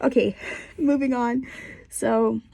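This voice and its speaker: A woman's voice: on the high side, steady tone, takes it slowly, gravelly, mellow, no room sound. A young woman in her twenties with a stuffy, congested voice, candidly telling her personal story for a class in a small bedroom. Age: 20s. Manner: candid and conversational, with wry asides. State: congested from a cold. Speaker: student.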